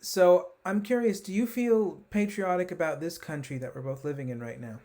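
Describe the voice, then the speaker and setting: A man with a Los Angeles American accent, asking questions as a podcast host in a measured, inquisitive way. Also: on the high side, darkish tone. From Los Angeles, United States. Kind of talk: conversational interviewing.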